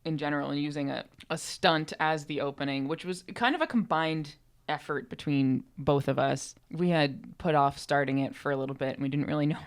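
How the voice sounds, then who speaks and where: A woman's voice: deep voice, fairly quick, clear, resonant tone. A woman co-hosting a podcast in casual chat, with a playful, joking manner. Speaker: podcast co-host and co-writer.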